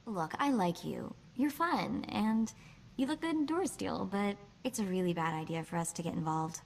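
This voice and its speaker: An actress playing a sassy, quick-witted young woman hacker in a video game, with a chatty, wry delivery. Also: mid-range voice, lively intonation, vocal fry, darkish tone, a little hiss or hum. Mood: gently rebuffing. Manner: wry, chatty, hesitant asides.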